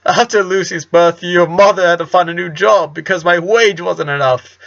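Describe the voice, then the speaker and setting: A pained middle-aged father talking with a cracking voice that keeps pushing up high in distress, as a male narrator puts him on. The tone is gravelly. The recording sounds dull and lo-fi. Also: fairly even intonation, normal speed, a bit bright. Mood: anguished. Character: emotional working-class father, middle-aged man.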